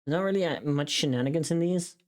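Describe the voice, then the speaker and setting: Streamer, middle pitch, casual chat, muttering to self, repetitive phrasing, live working session. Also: fast talker. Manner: muttering to self, repeating words.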